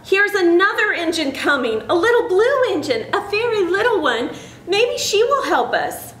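A woman voicing a little male toy clown and his doll friends, eager and excitable, in a children's storybook reading. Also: high voice, moderate pace. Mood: excited. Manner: pleading, excitable. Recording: echoey room with faint noise.

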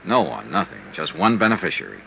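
An actor playing a hard-boiled, weary insurance investigator in a 1950s radio detective drama. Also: male voice, low-pitched, big pitch swings, fast talker, vocal fry, noisy background, full character voice. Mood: probing, terse. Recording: muffled 1950s radio recording.

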